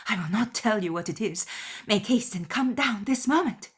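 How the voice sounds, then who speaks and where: A woman puts on an excitable young lady for a dramatized audiobook. Her voice sits high and breathy with agitation, and she gushes naively as she talks. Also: normal speed. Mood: breathless excitement. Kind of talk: dramatized classic novel reading.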